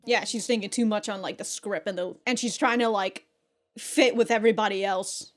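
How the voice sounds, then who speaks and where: A woman hosting a reaction show, commenting casually in an animated, exclaiming way. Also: medium pitch, normal speed. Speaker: host of an anime reaction show.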